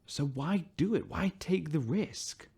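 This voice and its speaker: An actor playing a middle-aged ship captain who speaks in a dry, officious way. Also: male voice, fairly high pitch, animated, slow, vocal fry, warm tone, husky, full character voice. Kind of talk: sci-fi radio drama.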